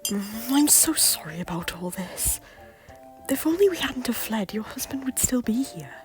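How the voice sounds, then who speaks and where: A woman's voice: a little high, very animated, normal speed, over loud music. A woman voicing an anxious young Scottish prince with a worried, apologetic manner. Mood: sorrowful whisper. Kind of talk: fan audio drama acting.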